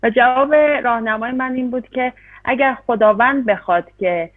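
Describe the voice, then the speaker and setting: A woman interpreting a talk, rushing through phrases with hesitant fillers between them. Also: a little high, no echo. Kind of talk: consecutive interpretation. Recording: dry, tinny phone-like sound.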